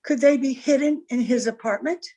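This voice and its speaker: A woman's voice: high-pitched, normal speed, warm tone. An actress playing a mysterious, anxious married lady in an old-time radio crime drama, speaking hesitantly. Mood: guarded. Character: mysterious, frightened, refined married woman.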